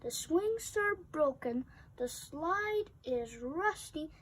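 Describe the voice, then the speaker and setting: A woman's voice: high voice, expressive, slow, fairly bright tone. A young girl reading a children's picture book aloud expressively, acting out the sound effects with emphasis. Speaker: storybook reader.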